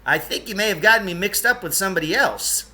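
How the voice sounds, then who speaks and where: Guy reading as an eccentric impatient man from a kids book, brusque, loud bright voice, swoops around a lot. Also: high-pitched, lively intonation, quick pace, pitched above the performer's own voice, exaggerated voice. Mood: puzzled. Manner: emphatic, exclaiming, dismissive. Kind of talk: children's novel read-aloud.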